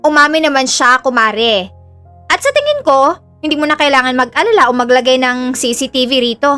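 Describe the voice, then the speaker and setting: A woman's voice: high voice, animated, brisk, pushed and bright, soft background music. A woman with a Filipino accent playing a kindly, reassuring lady of the house in an audio drama.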